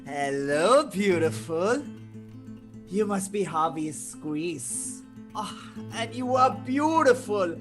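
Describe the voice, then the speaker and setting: A man playing a manic, menacing criminal clown in a live table read, taunting with drawn-out words and cackles. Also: sits high, very animated, music in the background, heavily acted. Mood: sinister, taunting.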